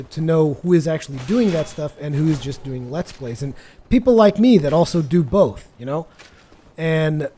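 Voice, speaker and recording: Higher-pitched man, mellow, chatty. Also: a little hiss or hum. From Portugal. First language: Portuguese. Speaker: gaming video host. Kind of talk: casual chatty gameplay commentary.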